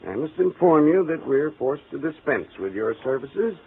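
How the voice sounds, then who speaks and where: An actor playing a fussy, evasive male office boss in an old-time radio drama, speaking in a formal, halting, officious way. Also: fairly high pitch, very animated, over a noisy crowd. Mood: stiff, regretful. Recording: tinny, noisy old radio recording.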